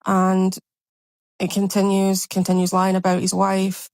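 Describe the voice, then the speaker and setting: Indignant woman narrating in a flat tone. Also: mid-range voice, unhurried, no echo. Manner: indignant, condemning. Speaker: commentary narrator. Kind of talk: scripted narration over screenshots.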